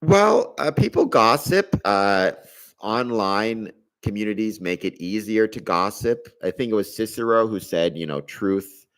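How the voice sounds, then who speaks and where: A man in his forties hosting a live stream, chatting casually as he answers questions and thinking aloud with hedging asides. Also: on the low side. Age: 40s.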